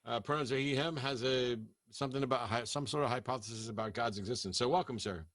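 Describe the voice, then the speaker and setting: Host: man, mid pitch, blunt and dismissive, dry close sound. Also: quick pace, a bit bright, dry recording. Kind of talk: call-in show hosting.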